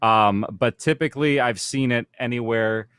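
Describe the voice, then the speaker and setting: A man's voice: medium pitch, normal speed, fairly bright tone, clear, resonant tone. A man with a mid-range voice explains things informally as a panelist. His sound is dry, with very little room echo. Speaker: audio fiction panelist.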